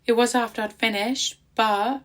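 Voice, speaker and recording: A tattoo artist with a croaky voice, chatting casually in a rambling voice-over with many ums, recorded outdoors in quiet countryside. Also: female voice, on the high side, steady tone, moderate pace.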